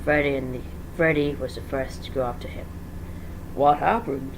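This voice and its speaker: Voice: mid-range voice, fairly even intonation, a little fast. Informal amateur narrator with faint noise. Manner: informal, stumbles and self-corrects. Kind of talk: amateur dramatic story reading.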